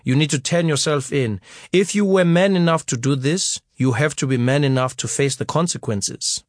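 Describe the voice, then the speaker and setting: A man's voice: a little high, moderate pace, dry recording, studio quality, lightly acted. A man voicing a stern, blunt male cousin who firmly scolds in a storybook reading. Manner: firm, scolding.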